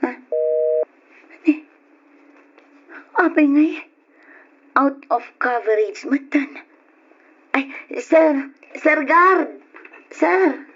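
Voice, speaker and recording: A woman's voice: high-pitched, animated, very slow, pauses often, a bit bright, full character voice. An earnest working mother. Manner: earnest, hopeful. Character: devoted mother leaving to work abroad. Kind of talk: old radio drama acting. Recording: muffled old radio recording.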